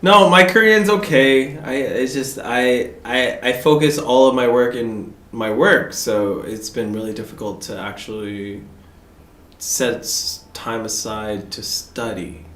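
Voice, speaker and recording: A man's voice: mid-range voice, fairly bright tone. A young man in casual conversation as a guest, often stumbling and restarting his sentences. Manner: halting, stammering restarts. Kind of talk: casual chat.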